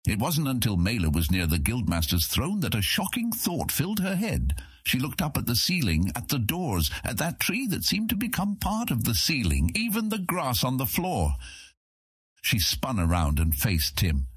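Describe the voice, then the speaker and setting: A man's voice: medium pitch, wildly swooping pitch, normal speed, husky, dry recording. A man narrating a fantasy audiobook in a dramatic, scripted storytelling style.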